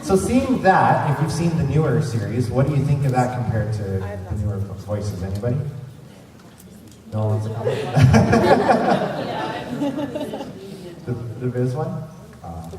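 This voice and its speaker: A man's voice: medium pitch, big pitch swings, measured pace, long pauses. A man hosting a convention panel, talking casually to an audience of fans. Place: large convention centre panel room. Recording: very echoey hall, distant, crowd.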